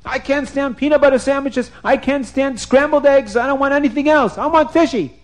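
Man acting out a petulant, high-pitched storybook king. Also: animated, moderate pace. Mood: threatening. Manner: whiny, shouting, repetitive demands. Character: demanding, petulant, hot-tempered king obsessed with fish.

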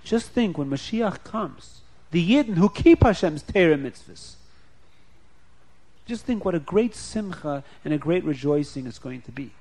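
Man doing serene ancient rabbi, quiet, slow, gentle, reassuring, storytelling voice. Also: fairly high pitch, animated, low-quality recording. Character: serene, hopeful ancient male rabbi, calmly explaining.

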